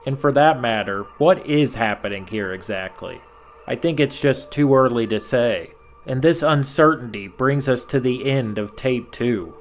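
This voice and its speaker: A man narrating scripted horror commentary as a host, in a measured storytelling manner with rhetorical asides. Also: medium pitch, slight background noise. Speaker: video essay host and narrator.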